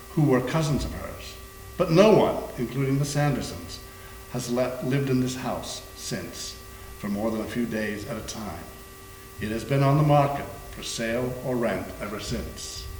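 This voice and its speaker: Male actor as learned haunted-house investigator, grave deliberate storyteller, readers theater, echoey hum-tinged room. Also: mid-range voice, lightly acted. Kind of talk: staged readers theater performance.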